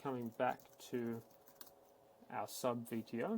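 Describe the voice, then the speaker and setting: Man, mid-pitched, creaky voice, casual matter-of-fact tech walkthrough, unscripted, typing and mouse clicks behind. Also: little pitch movement, a little slow, a little mellow, a little hiss or hum. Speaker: tech support instructor. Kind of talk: screen-recorded software tutorial, unscripted walkthrough.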